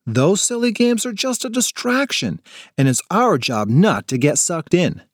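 A man's voice: high-pitched, big pitch swings, unhurried, no echo, clean studio sound, voice pushed up, full character voice. A man voicing a bossy, determined young girl in an audiobook, intense and fired up.

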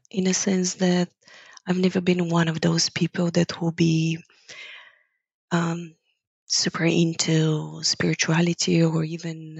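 A woman's voice: fairly low pitch, vocal fry, darkish tone, breathy edge, no room sound. A woman from Bulgaria, a guest on an interview who speaks thoughtfully, searches for her words and laughs lightly. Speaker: guest, yoga teacher. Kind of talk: reflective interview conversation. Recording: dull, dry lo-fi recording.

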